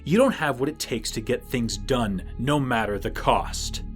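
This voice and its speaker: A man's voice: medium pitch, a little slow, soft background music, close to an everyday voice. A man acting in an audio drama as a dour, menacing bounty hunter, cold, scheming and sarcastic. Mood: bitter, menacing.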